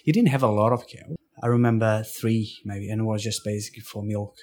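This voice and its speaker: A man from Portugal with a Portuguese accent, recounting his life in a casual interview, halting with frequent restarts and fillers. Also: fairly low pitch, steady tone, a little mellow. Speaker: interview guest. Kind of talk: casual storytelling.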